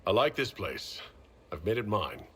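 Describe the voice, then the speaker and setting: An actor playing a heroic commander in a video game, plain-spoken and direct with a wry streak. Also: male voice, on the low side, very animated, moderate pace, fairly bright tone, slightly rough, exaggerated voice. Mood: calm, earnest. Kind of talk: video game cutscene acting.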